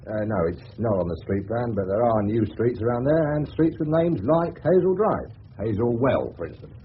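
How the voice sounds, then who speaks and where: An actor playing a brash, sarcastic, slangy English suburban private detective in a 1970s radio drama. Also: male voice, medium pitch, sing-song, normal speed, lightly acted. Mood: defensive. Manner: slangy, cocky, sardonic. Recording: tinny, hissy old radio broadcast.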